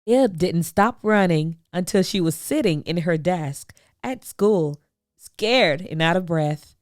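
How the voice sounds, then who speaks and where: Slow-paced woman reading a picture book aloud. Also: lowish voice, lively intonation, darkish tone, no room sound, studio quality. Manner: slow, deliberate phrasing with dramatic pauses. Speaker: children's story reader.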